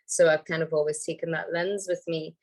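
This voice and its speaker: A woman, hoarse from a cold, speaking on a video call as a panel speaker, thoughtful with many ums and restarts. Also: low-pitched, steady tone, fairly quick, darkish tone. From Trinidad and Tobago. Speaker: panel speaker, environmental lawyer. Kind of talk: casual panel talk.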